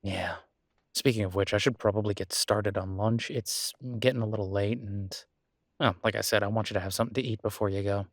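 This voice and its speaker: A man's voice: fairly low pitch, steady tone, fairly quick, no room sound, clean studio sound. A man playing a caring, easygoing boyfriend in a roleplay audio drama, speaking casually and reassuringly, with small hesitations. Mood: caring, relaxed. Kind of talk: roleplay audio drama, one-sided intimate conversation.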